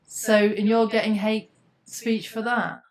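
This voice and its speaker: A woman's voice: on the high side, level tone, slow pace, rough recording. A woman hosting an interview, speaking in a sympathetic, emphatic way. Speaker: interviewer, host. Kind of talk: interview conversation.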